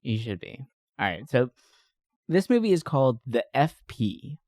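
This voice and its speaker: Male podcast co-host, mid-pitched, rambling and enthusiastic, giggles easily, casual review chat, very dry recording. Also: sing-song, a little slow, a little mellow, no room sound.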